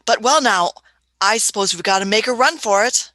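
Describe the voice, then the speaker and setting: A woman's voice: a little high, lively intonation, moderate pace, bright, forceful tone, no echo. A woman playing an elderly, fussy scientist-adventurer in a radio play, musing and dithering with repeated words and little questioning hums. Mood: grudging. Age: older.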